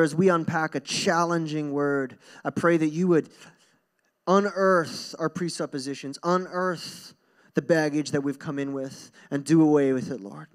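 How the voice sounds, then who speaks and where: A young man preaching as a pastor in an informal, earnest, conversational way, repeating phrases for emphasis, speaking into a handheld microphone on stage. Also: on the high side. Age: young adult. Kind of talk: conversational sermon to a congregation. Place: stage in a church hall.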